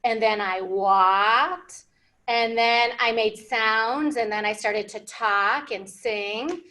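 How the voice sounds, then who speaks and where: A woman's voice: on the high side, slow, sharp tone. An American woman in her thirties hosting an interactive storytime for young children, speaking in simple, encouraging, teacherly phrases and asking questions. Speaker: library children's storytime host. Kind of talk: interactive storytime lesson for young English learners. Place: indoor library room, seated at a whiteboard.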